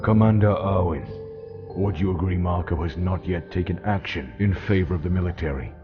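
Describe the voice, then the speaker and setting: Man acting a very deep-voiced wry judge. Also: highs cut off, music in the background, natural voice. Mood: stern, measured. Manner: measured, sardonic. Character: wry, authoritative male military court judge. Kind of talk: fan audio drama acting.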